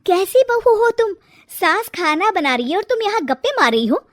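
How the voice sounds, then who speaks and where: कहानी सुनाती महिला की ऊँची, तीखी, रौबदार सास. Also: female voice, very high voice, sing-song, normal speed, dry recording, studio quality, pitched above the performer's own voice. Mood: angry, scolding. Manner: scolding, indignant. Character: shrill, bossy middle-aged mother-in-law, quick to wail. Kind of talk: cartoon moral-story character voice.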